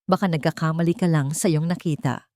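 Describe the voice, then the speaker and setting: A woman's voice: sits low, steady tone, fairly quick, darkish tone, dry recording, studio quality, lightly acted. A woman with a Filipino accent voicing a menacing older male lawyer, cold and condescending, in an audio drama story. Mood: cold, mocking.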